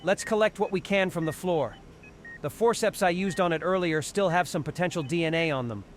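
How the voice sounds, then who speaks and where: A man's voice: high-pitched, fairly quick, slight background noise, close to an everyday voice. A man playing a methodical, authoritative hospital doctor who gives clipped instructions in a horror audio drama. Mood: grave.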